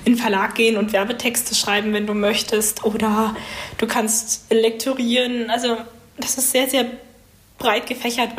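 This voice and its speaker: A woman in her twenties giving casual, chatty answers as a student being interviewed. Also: fairly high pitch. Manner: chatty, informal.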